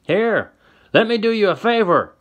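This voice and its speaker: A man voicing a hostile old frontiersman with a gun, yelling in a shrill folksy drawl. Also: lively intonation, moderate pace. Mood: furious. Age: older.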